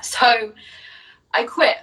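Bright-voiced woman from Jersey, chatty. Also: very slow, distant mic. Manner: open, chatty storytelling with fillers. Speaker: business coach, guest. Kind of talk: casual interview answers.

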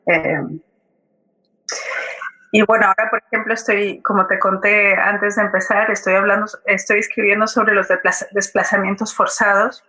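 A woman's voice: mid-range voice, level tone, normal speed, pushed and bright, low-quality recording. A woman from Colombia speaking as an interview guest, reflective and hesitant, often checking for agreement as she answers. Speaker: guest playwright.

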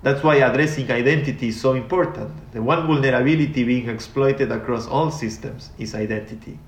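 A man's voice: medium pitch, a little fast. A man from Argentina, speaking as an interview guest in a reflective, rambling way with many restarts. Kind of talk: casual interview talk. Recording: echoey room.

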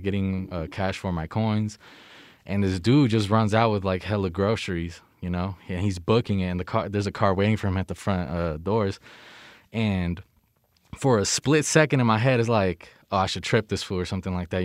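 A man's voice: low-pitched, a little fast, no echo, clean studio sound. A young American man in casual conversation, talking in an informal, slangy way and often checking in with the listener. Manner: informal, slangy, frequent "you know what I mean".

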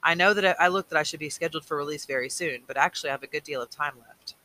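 A man reading aloud as a weary old mentor, patient and burdened, with a slow, earnest delivery. The voice sits a little high and comes out sharp and bright. It sounds like a tired elder in a storybook. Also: poor audio. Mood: solemn. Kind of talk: audiobook reading.

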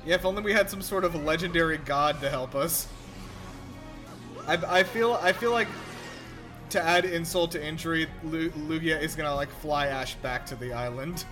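Male reaction co-host, fairly high voice, casual sarcastic film commentary with music behind. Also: moderate pace.

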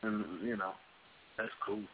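A man speaking in a halting, thoughtful way in a casual group discussion. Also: on the low side, fairly even intonation, husky. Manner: halting, repeats words, thoughtful. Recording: tinny phone-like line.

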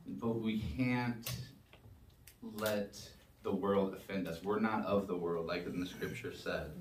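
Low-voiced man preaching in a roomy church. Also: steady tone, a little hiss or hum. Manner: measured, emphatic scripture reading. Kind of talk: church service reading and preaching. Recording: roomy sound from a distance.

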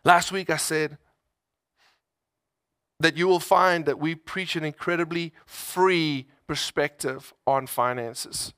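A man's voice: fairly high pitch, fairly bright tone, clear, resonant tone, dry recording, clean studio sound. A man preaching a conversational sermon, emphatic, repeating phrases and voicing people's inner thoughts in everyday words.

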